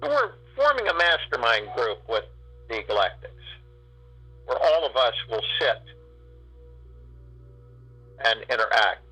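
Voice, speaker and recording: A man guiding a spiritual meditation, speaking in slow, drawn-out phrases with long pauses. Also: medium pitch, sing-song, sharp tone, husky, soft background music, rough recording. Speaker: meditation guide.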